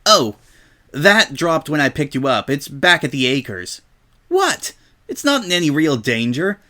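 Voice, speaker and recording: A man voicing a brash, indignant young female pegasus pony, loud, defensive and exclamatory, in a story reading. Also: fairly high pitch, big pitch swings, a little slow, voice pushed up, full character voice. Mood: defensive, sheepish.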